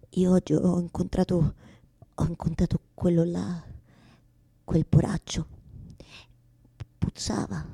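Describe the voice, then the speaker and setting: An actress playing a bitter, self-mocking woman who argues with God in a halting, repetitive theatrical monologue. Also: fairly low pitch, vocal fry, dark tone, slightly rough, full character voice. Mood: disgusted, low. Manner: repetitive, halting, confessional, swinging from whispers to outbursts. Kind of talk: theatrical monologue, radio drama.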